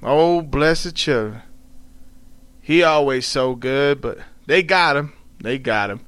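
A man voicing an elderly, proud and sorrowful formerly enslaved mother, telling her story in halting folksy dialect. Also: mid-range voice, fairly bright tone, clean tone. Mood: sorrowful, defiant. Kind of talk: dramatic read-aloud of a dialect tale.

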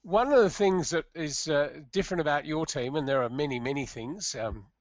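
A man's voice: a little high, a little fast, no room sound, lo-fi sound. Man asking leading interview questions. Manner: leading questions, hesitant with fillers. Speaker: radio interviewer.

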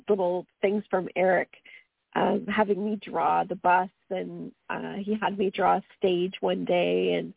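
A Canadian woman talks earnestly and at a wandering pace, full of ums and restarts, as a radio co-host. Her voice is gravelly and a little husky. It comes through a dry, tinny phone line. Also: medium pitch, no room sound. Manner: meandering, earnest, frequent fillers. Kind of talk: casual radio talk.

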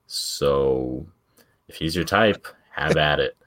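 A man co-hosting a casual podcast, deep-voiced and self-deprecating. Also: slow, a bit bright. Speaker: podcast co-host.

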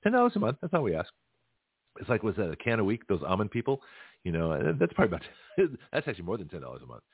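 A deep, husky-voiced middle-aged man talks fast and loose as a radio host, doubling back on himself and chuckling between points. His voice is dry and close, squeezed through a thin phone-like line. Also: huge pitch swings, no echo. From Canada. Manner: rambling, with frequent restarts and chuckles. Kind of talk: casual political talk radio monologue. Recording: tinny phone-line audio.

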